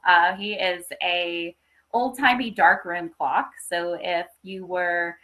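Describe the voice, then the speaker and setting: A woman's voice: fairly low pitch, steady tone, takes it slowly, sharp tone. A woman who hosts a story podcast, reading aloud and speaking with enthusiasm. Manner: enthusiastic, chatty. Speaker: podcast host and story reader. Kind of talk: dramatic story reading, then casual live hosting.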